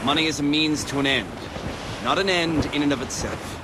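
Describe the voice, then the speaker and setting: A man voicing a dignified, idealistic colonial army colonel in a video game, speaking in a formal, measured way. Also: fairly high pitch, lightly acted. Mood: earnest. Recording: noisy wind and surf. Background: ship, waves.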